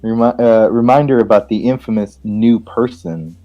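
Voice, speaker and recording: A man's voice: fairly low pitch, steady tone, normal speed, warm tone, highs cut off. A man reading game text aloud and reacting casually, playful and stumbling over lines.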